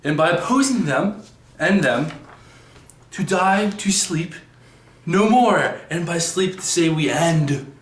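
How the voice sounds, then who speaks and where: A man playing a brooding tragic prince, reciting a Shakespearean soliloquy in a halting, stumbling way. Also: on the high side, animated. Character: brooding young tragic prince, contemplative and despairing. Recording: echoey room.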